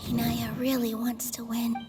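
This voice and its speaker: An actress voicing a gentle, earnest young woman in a video game, speaking softly and reassuringly. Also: fairly high pitch, completely flat delivery, normal speed, very noisy, close to an everyday voice. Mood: thoughtful. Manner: soft, thoughtful, reassuring. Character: gentle young female dancer, earnest and encouraging.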